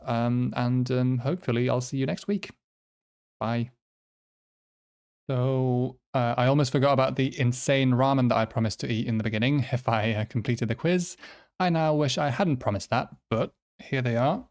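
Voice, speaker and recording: A man from the Nordic region, a native speaker of Swedish or Finnish, talking casually to viewers as a quiz host, halting and self-deprecating as he thinks aloud. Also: medium pitch, a little mellow. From Finland or nearby Nordic region.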